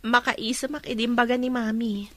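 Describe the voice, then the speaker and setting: Playful, gossipy Filipina sister, radio serial; high voice, very dry recording. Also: female voice, level tone, moderate pace, fairly bright tone, no room sound. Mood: gossipy, teasing. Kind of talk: Ilocano radio drama acting.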